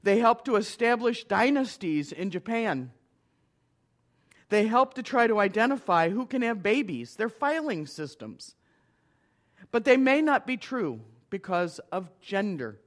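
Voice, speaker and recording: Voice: medium pitch, very animated, a little slow. A middle-aged minister giving a conversational lecture to an audience, with wry asides.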